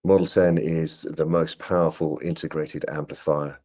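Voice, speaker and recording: An older man presenting a product training webinar in a measured, explanatory way, speaking directly to his audience. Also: a bass voice, flat delivery, darkish tone. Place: indoor room on a video call. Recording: dull, muffled video-call audio.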